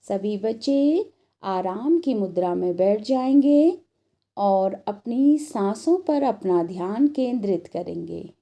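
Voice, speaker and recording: A woman teacher in a scripted storytelling lesson for children, speaking in a deliberate, instructional manner and addressing the children directly. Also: mid-range voice, expressive, dark tone.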